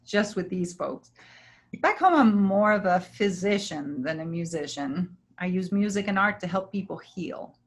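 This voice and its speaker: A woman playing a kind, principled healer in a travelling troupe, gentle and reassuring, in a staged play reading. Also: lowish voice, animated, unhurried. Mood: modest, kind.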